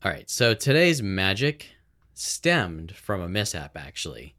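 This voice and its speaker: A man's voice: sits low, very animated, measured pace, pushed and bright. A man co-hosting a casual home-recorded podcast, rambling and correcting himself as he explains things. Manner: rambling, self-correcting, chatty. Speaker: podcast producer and co-host. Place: home recording setup in a house. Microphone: boom-arm microphone.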